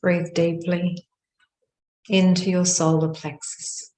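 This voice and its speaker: Slow, low-voiced woman guiding meditation. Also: level tone, mellow. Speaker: meditation guide. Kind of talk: guided meditation narration. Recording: roomy, echoing sound.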